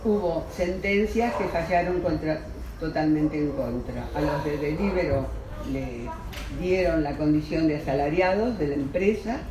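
A man's voice: fairly high pitch, measured pace. Man rambling at a panel. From Spain. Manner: rambling, self-correcting, chuckles often. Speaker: panel speaker. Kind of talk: spontaneous panel talk. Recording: roomy hall from far off, background noise.

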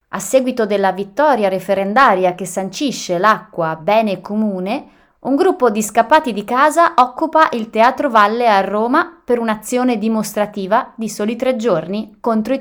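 A woman presenting on the radio with a fairly high-pitched voice. She reads scripted narration, going through dates and anniversaries one after another. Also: animated, moderate pace.

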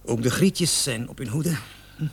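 A man's voice: medium pitch, normal speed, slightly rough, natural voice. An actor in a radio play, a man playing a cocky travelling salesman in his thirties, defensive and hesitant under police questioning. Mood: flippant, boastful. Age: 30s. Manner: hesitant, stammering, protesting with nervous chuckles.

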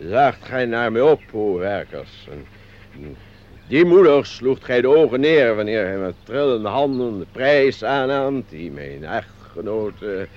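An actor playing a pompous, sentimental company director giving a halting, florid speech in a radio play. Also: male voice, mid-range voice. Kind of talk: Dutch radio play acting. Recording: dull lo-fi radio recording.

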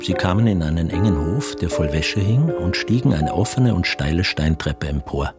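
A man narrating a literary radio drama from a script in formal, measured storytelling sentences. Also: low voice, animated. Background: music.

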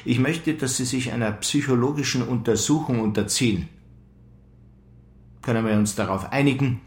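An actor playing a sceptical police superior in a radio play, official and deliberate. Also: male voice, mid-range voice, echoey room, natural voice. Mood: probing, reproachful. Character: sceptical, measured male police superior, quietly probing. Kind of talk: radio drama acting.

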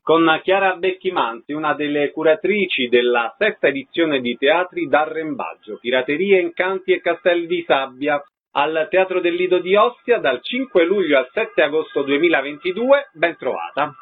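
A man's voice: a little high, expressive, moderate pace, soft background music. A man interviewing guests for radio. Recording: dull lo-fi sound, clear background noise.

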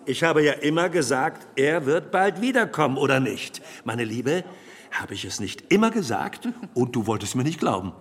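An actor in a German radio play voicing a cheerful, self-satisfied family gentleman, jovial and talkative. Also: mid-range voice, expressive, moderate pace, long reverb tail, lightly acted. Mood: triumphant good cheer.

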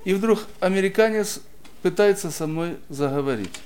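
A man preaching and telling a personal story in short phrases, pausing after each so it can be interpreted. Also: high voice, animated, darkish tone. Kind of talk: sermon testimony, interpreted line by line.

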